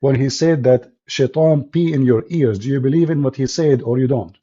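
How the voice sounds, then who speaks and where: A man's voice: mid-range voice, moderate pace, mellow, highs cut off. A man hosting a combative call-in debate, speaking blunt, mocking non-native English. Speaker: host of call-in debate show.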